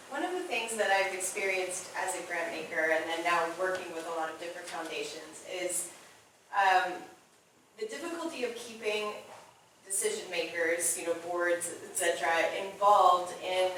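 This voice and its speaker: A woman's voice: on the low side, fairly bright tone. Earnest woman asking from the floor. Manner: earnest, inquiring. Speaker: audience member asking a question. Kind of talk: question from the floor. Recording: echoey room, far from mic, faint noise.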